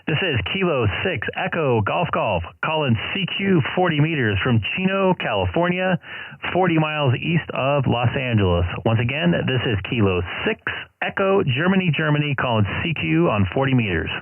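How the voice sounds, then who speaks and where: A man on amateur radio, reciting his call sign in the phonetic alphabet in a formal, repetitive way, his voice slightly hoarse. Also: a little high, big pitch swings, normal speed, no room sound. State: voice getting worn, starting to go hoarse. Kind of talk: calling CQ over the air. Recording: tinny phone-like radio audio, dry.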